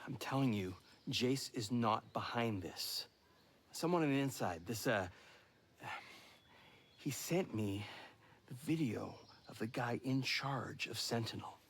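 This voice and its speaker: An earnest informant in a video game cutscene. He speaks slowly and hesitantly at a middling pitch, pleading and loyal. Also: breathy edge. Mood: insistent.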